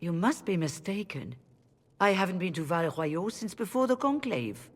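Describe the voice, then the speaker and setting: Weary, husky rebel mage leader. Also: female voice, on the low side, animated. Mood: formal. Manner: formal, measured. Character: weary, defensive female leader of rebel mages. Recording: long hall reverb.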